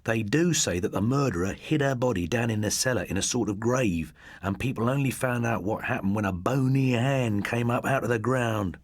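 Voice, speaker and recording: An excitable schoolboy storyteller, acted out by a male reader, pitched well up and pushing every phrase. He is boastful and dramatic, spinning ghost tales in a dry, close audiobook sound. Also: moderate pace, few pauses, no room sound, close to an everyday voice. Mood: spooky, relishing. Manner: dramatic, insistent.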